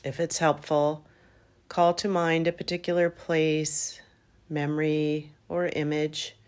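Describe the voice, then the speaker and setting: Slow, low-voiced woman guiding meditation. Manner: calm, gentle, unhurried phrases with long pauses. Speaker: guided meditation teacher. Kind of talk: guided yoga nidra meditation.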